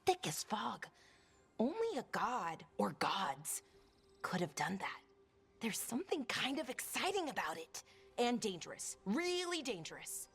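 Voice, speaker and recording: A woman playing an excitable young elven scholar in a video game, rambling eagerly and thinking aloud. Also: fairly high pitch, a little slow, vocal fry, husky, full character voice. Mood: curious, excited.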